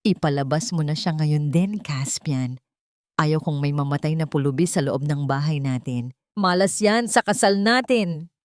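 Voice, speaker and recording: A woman's voice: low-pitched, measured pace, darkish tone, dry recording, clean studio sound. A woman voicing a cruel, mocking young fiancee in a dramatic storybook, sneering and scornful, mixing English into her speech. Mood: venomous.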